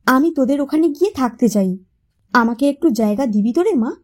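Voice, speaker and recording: A woman's voice: high voice, lively intonation, fairly quick, a little mellow. A woman voicing a remorseful, affectionate older mother-in-law, emotional and apologetic, in an audio story. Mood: tender pleading.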